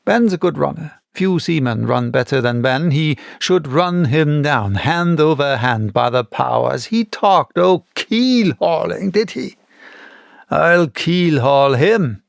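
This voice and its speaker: Man performing a boisterous old seadog innkeeper, salty talk, raised gravelly voice. Storybook character. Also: a little high, expressive, slow pace, no echo, full character voice. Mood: eager, then excited, indignant. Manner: salty seafaring dialect, exclamatory. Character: boisterous one-legged old sailor turned tavern keeper. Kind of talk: audiobook character voice.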